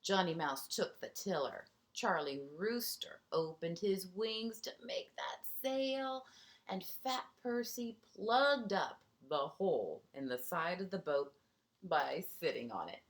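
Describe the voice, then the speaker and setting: A woman reads a picture book to kindergarten children at a slow, measured pace. Her pitch moves up and down in a lively way. She is expressive, stepping out of the text to point out small details and put questions to the young listeners. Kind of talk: storybook read-aloud with asides to young children.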